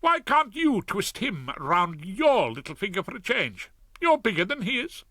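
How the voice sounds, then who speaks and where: A cutting, upper-class judge's wife, as a man puts her on speaks high and bright, her voice swooping with scorn. Her dry, close delivery keeps every barb crisp. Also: very animated, a little slow, no room sound, heavily acted. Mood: scornful. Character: haughty sharp-tongued judge's wife, middle-aged.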